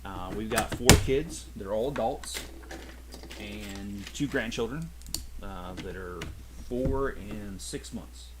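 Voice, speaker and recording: A man's voice: on the low side, slow pace, noisy background. A middle-aged man hosting a toy building stream, chatting casually with viewers, rambling and correcting himself as he goes. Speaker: toy channel host. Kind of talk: casual live-stream chat while building. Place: home, rural.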